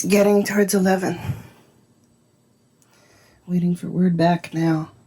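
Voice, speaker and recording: An actress playing a thoughtful woman musing on dark local history in a measured, journal-like audio drama monologue. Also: on the low side, steady tone, breathy edge. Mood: pensive. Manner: measured, pensive.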